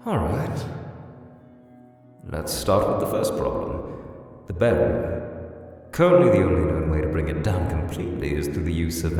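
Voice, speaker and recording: A low-voiced, stern old-school skeleton scientist acted by a man lectures formally and precisely in a comic dub. He sounds weary and exasperated, with wide swings of intonation. Heavy hall reverb puts him at a distance, with music behind. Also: darkish tone. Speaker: voice actor in a fan comic dub. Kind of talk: dramatic comic dub acting.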